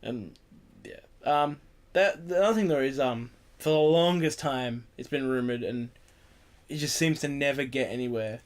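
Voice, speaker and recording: A man's voice: mid-range voice, normal speed. A man hosting an informal group chat on a podcast, often restating his points for emphasis. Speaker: podcast host.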